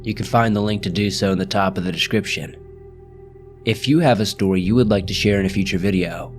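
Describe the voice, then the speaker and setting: A man hosting a scary story channel, reading ghost stories aloud in a slow, measured, hushed storytelling delivery. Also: low voice. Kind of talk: scripted horror story narration.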